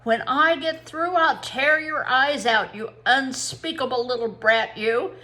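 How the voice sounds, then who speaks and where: A woman's voice: high-pitched, a little slow, bright, forceful tone, pitched above the performer's own voice. A woman reading a storybook as a feisty teenage girl with a theatrical, emphatic manner.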